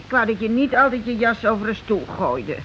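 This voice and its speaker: A woman's voice: fairly high pitch, sing-song, fairly quick, a bit bright. A woman acting in a radio play as a long-suffering, agreeable working-class wife who gives short, resigned replies. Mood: mildly exasperated. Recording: dull, noisy old radio recording.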